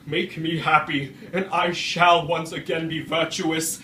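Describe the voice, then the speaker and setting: A man playing Frankenstein's creature, wretched, anguished and vengeful, in a theatrical, archaic stage monologue with deliberate oratory. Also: a little high, little pitch movement, pushed and bright. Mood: pleading, threatening. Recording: roomy, distant microphone, faint noise.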